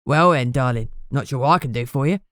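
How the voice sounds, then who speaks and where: Woman voicing a cheeky, low Cockney ghoul man. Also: fast talker, no room sound, clean studio sound. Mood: wary, bargaining. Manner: broad slangy speech, cheeky endearments. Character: shambling grubby male ghoul informant, cheeky and streetwise. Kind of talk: audiobook character dialogue.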